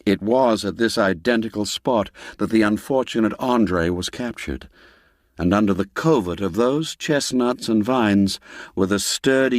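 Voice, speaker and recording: Low-voiced, measured male storyteller. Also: no echo, clean studio sound. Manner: measured, deliberate, suspenseful storytelling. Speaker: narrator. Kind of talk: dramatic literary audiobook narration of a ghost story.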